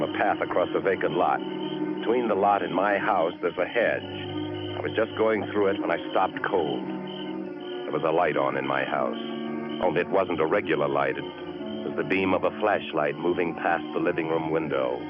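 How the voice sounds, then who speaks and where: A man acting a hard-boiled husband in an old radio thriller, low-voiced and clipped. He is guarded and sardonic. The recording is dull and vintage, with music in the mix. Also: lightly acted. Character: terse, guarded husband of a missing wife, hard-boiled narrator. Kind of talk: 1940s radio suspense acting with first-person narration.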